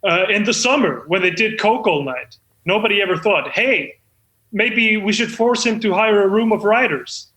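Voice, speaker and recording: A man's voice: high voice, lively intonation, normal speed, pushed and bright. A man playing a deluded, eager amateur screenwriter who hosts a comedy show in an effusive, self-important manner. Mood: boastful. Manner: effusive, self-important, stumbling when off script. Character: deluded, eager amateur screenwriter hosting his own reading. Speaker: host. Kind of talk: comedic monologue and mock talk-show hosting. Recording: roomy echo.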